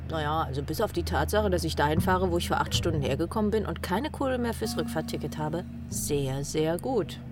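A woman's voice: sits low, expressive, a little fast, hardly any pauses. An actress playing a flustered, sarcastic young woman traveller in a radio drama. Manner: sarcastic, scattered. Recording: noisy train interior ambience.

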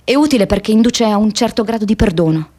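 A woman's voice: medium pitch, quick pace, mellow, lightly acted. An actress playing an idealistic, disillusioned young woman activist in a radio theatre monologue, speaking in an earnest, reflective, confiding way.

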